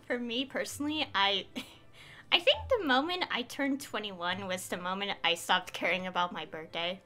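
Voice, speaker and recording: A woman's voice: fairly high pitch, pushed and bright, slight background noise. A woman in her twenties giving casual, rambling, giggly commentary as a game streamer.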